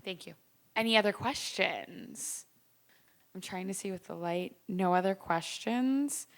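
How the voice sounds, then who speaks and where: A woman's voice: mid-range voice, measured pace, gravelly. A woman moderating a public panel on a corded mic, gracious and effusive in her thanks to the panelists. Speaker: panel host. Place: public panel event before an audience. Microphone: corded handheld mic.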